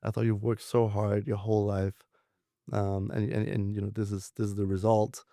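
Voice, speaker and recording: Gravelly low-voiced young man. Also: moderate pace, no echo, studio quality. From Denmark, raised in southern France. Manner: relaxed, rambling with many fillers and restarts. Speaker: guest, venture capitalist. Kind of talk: casual interview storytelling.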